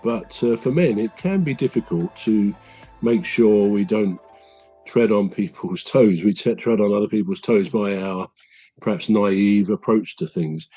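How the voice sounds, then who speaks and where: Man, podcast co-host, rambling casual chat, husky tone, music faint underneath. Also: fairly low pitch, unhurried. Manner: rambling, self-correcting, gently humorous.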